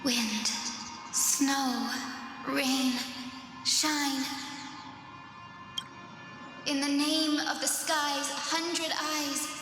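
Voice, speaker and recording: Gentle, high-voiced earnest young healer. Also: female voice, steady tone, extremely slow, long pauses. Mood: solemn incanting. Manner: earnest, thoughtful, formal. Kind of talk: fantasy game voice acting. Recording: roomy reverb, music behind.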